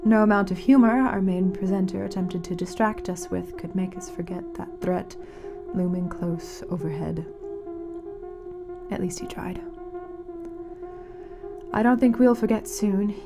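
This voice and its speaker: A woman playing a grave, mournful radio announcer in a fiction podcast, speaking solemnly and dramatically with weighted pauses. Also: mid-range voice, vocal fry, over music. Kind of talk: scripted fiction-podcast narration as a community radio broadcast.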